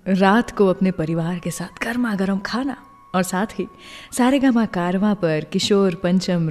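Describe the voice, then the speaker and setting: A woman's voice: mid-range voice, darkish tone, in a large hall. A woman hosting a radio show, telling scripted stories conversationally and putting questions to listeners. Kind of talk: scripted radio storytelling between songs. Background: music.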